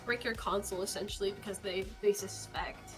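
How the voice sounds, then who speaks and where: A woman with a mid-range voice chats casually on a live game stream. She leans on "like" fillers and trades teasing banter with the others. Faint music sits quietly behind her. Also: medium pitch, fairly even intonation, fairly quick, poor audio. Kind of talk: casual gaming stream chat.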